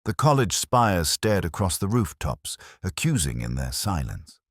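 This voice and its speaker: A man with an extremely deep voice narrates a first-person detective story. His delivery is measured and brooding, with wide swings of intonation for a literary, scripted read. The sound is close and dry. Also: sing-song, no echo.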